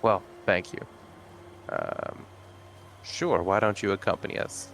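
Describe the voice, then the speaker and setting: A man's voice: low-pitched, wildly swooping pitch, takes it slowly, gravelly, a bit bright, faint music behind. A man playing a tabletop game, speaking in a casual, polite way in and out of character. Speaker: player.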